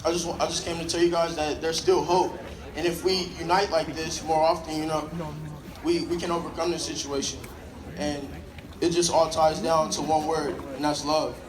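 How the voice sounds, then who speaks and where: A man's voice: fairly high pitch, little pitch movement, normal speed. A teenage boy giving a hesitant, earnest speech at a school protest, with many "you know"s. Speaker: student speaker at a protest. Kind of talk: hopeful rally speech. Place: outdoor school protest crowd. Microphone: phone camera in the crowd. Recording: noisy echoey phone recording of a crowd.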